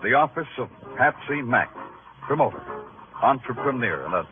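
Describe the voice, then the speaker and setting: An actor playing a hard-boiled New York police detective in a 1950s radio crime drama, with an American accent and a wry, clipped, world-weary manner. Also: male voice, mid-range voice, lively intonation, normal speed, lightly acted. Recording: muffled vintage radio broadcast with music.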